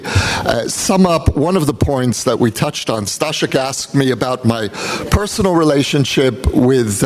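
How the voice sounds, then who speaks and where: An American man, a guest speaker, giving a public talk in a measured, personal storytelling manner. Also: mid-range voice. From United States. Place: event hall.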